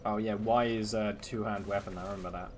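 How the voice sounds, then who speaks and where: A man's voice: on the low side, steady tone, fairly quick, a little hiss or hum. A young man streaming his first run of a game, chatting casually and joking at his own expense. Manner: playful, jokey, self-mocking. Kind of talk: casual gameplay commentary.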